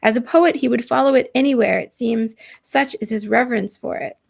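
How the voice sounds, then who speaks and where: A woman's voice: a little high, a little fast, gravelly. A woman hosting an online poetry interview, introducing writers and putting questions to them. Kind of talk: introductions read out, then conversational questions. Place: live online video call.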